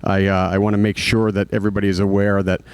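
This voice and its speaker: A man giving a school activities report at a board meeting, halting, with many fillers and restarts. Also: fairly low pitch, level tone, a little mellow, clear, resonant tone, dry recording. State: recovering from hoarseness.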